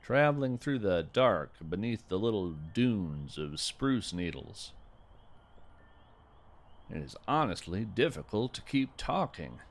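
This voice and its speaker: A man playing a gentle, folksy naturalist who hosts a scripted field podcast with earnest, lyrical wonder. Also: on the low side, very animated, exaggerated voice. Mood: hushed curiosity. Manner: deliberate, lyrical, earnest delivery. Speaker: podcast host and narrator. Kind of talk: scripted narration with poetry reading.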